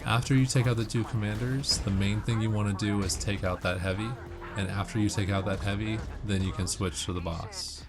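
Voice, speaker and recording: A man's voice: on the low side, fairly even intonation, a little fast. A man giving casual, instructional game commentary, walking through tips step by step. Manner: explanatory, instructional. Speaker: gamer giving walkthrough tips. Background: game music and gunfire.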